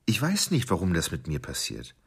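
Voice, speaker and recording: A tightly wound, pedantic husband in a radio play. His low voice is husky and gravelly and swings widely as he seethes through a clipped inner monologue. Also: huge pitch swings, normal speed, full character voice. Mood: agitated, troubled. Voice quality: gravelly, husky, breaking into whispers. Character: punctilious, irritable married man tormented by violent nightmares.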